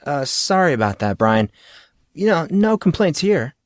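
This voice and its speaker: Man as an awkward, high-pitched teenage boy. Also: sing-song, measured pace, dry recording, studio quality. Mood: sheepish, curious. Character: awkward, polite fourteen-year-old schoolboy, easily flustered.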